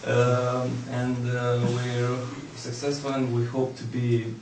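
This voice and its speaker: A man's voice: mid-range voice, monotone, slow, far from the mic. A man in his thirties introducing himself hesitantly, with many fillers. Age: 30s. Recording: echoey room with noise.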